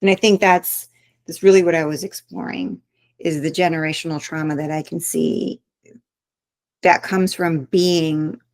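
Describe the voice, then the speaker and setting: A woman with a lowish voice talks as the guest of an interview, reflective and earnest, working her thoughts out aloud. The sound is dry and close, with little room in it. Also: steady tone, unhurried, darkish tone, no room sound. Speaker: author guest. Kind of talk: interview conversation.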